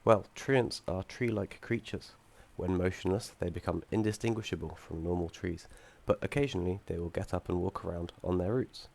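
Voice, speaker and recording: A young man with a croaky voice from feeling unwell, explaining his game project and code with frequent ums and restarts and the odd dry aside. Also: low voice, moderate pace, hardly any pauses, a little mellow. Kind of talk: screen-recorded code walkthrough.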